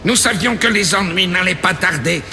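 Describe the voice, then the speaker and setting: An actor playing a grave monk in a video game cutscene, speaking in a solemn storyteller's manner. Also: male voice, high-pitched, normal speed, bright, forceful tone, slight background noise, full character voice. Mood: solemn, foreboding. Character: grave male monk, solemn guardian of an ancient treasure. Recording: long hall reverb, music underneath. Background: music.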